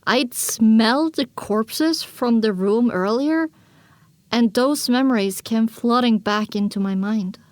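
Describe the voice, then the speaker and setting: Woman narrating, fairly high, dry sound. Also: no echo. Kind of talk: scripted first-person story narration.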